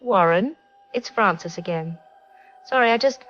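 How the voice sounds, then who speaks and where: A woman playing an uneasy, apologetic, halting caller to a radio show in a drama. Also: medium pitch, a bit bright, dull audio, lightly acted.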